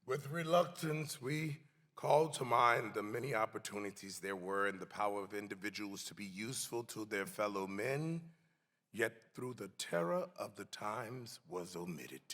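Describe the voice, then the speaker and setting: A man giving a solemn, emphatic dramatic reading of a historical text in a deliberate, theatrical way. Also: on the low side, a bit bright. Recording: echoey hall with long reverb.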